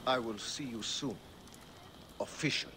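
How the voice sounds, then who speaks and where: An actor playing a bullying, corrupt, contemptuous army captain in a dramatic game cutscene with noisy ambience. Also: male voice, medium pitch, a little slow, lightly acted. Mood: veiled threat.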